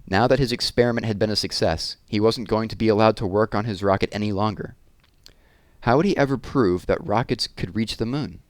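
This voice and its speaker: A man's voice: fairly low pitch, fairly quick, no room sound. A man reading a children's true story aloud as host and narrator.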